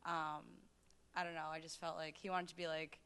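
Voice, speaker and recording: A woman's voice: low voice, level tone, fairly quick, fairly bright tone, clean tone. A young woman student rambling at a panel with many fillers. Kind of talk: panel discussion.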